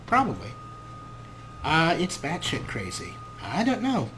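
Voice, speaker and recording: A man gives casual let's-play commentary in a bright voice with big swings in pitch. His pace is slow and his manner wry and rambling. Faint noise sits behind him. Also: huge pitch swings, low-quality recording. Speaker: gaming show host.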